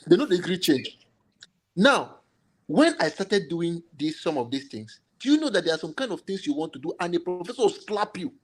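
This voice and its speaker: A man's voice: sits high, sing-song, fairly quick, husky. A man with a Nigerian accent speaking as a guest in a panel discussion, emphatic and often repeating his phrases. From Cameroon, Nigerian parents. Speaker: computational biochemist, panel guest.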